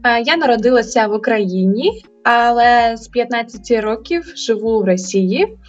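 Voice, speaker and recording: A woman, speaking on a video call with hesitant pauses and fillers. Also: fairly high pitch, expressive, recorded from a distance. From eastern Ukraine. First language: Russian. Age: 20s. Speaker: guest. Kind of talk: casual video call chat. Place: home room, indoors. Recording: dull video call audio.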